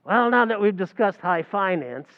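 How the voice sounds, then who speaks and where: A man's voice: high-pitched, expressive, normal speed. A man playing a brash New barkeep. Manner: brash, mangles big words, malaprop lecturing. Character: fast-talking, know-it-all New York bartender Archie. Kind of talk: old-time radio comedy recreation. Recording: dull, lo-fi sound.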